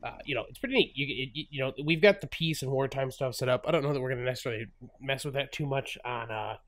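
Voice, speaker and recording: Chuckling stuffy-nosed gaming host. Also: male voice, mid-range voice, fast, fairly bright tone, no echo. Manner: chatty, laughs often, many fillers and restarts. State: stuffy from a cold. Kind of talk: casual gameplay commentary.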